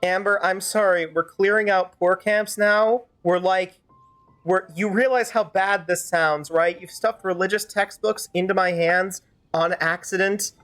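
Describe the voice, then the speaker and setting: Female game streamer, mid-pitched, dry-witted and rambling, ad-libbing a fan dub over soft background music. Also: steady tone, a little slow. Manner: wry, sardonic, rambling. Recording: faint game music behind. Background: faint game music.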